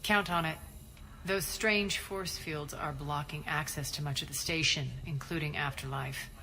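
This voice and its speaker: Low-voiced, imperious crime boss. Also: female voice, fairly even intonation, unhurried, pushed and bright, a little hiss or hum, poor audio. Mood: irritated, determined. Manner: imperious, clipped, cool and sardonic. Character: ruthless, commanding female crime boss plotting revenge. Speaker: gang leader and commander. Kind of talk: video game cutscene acting.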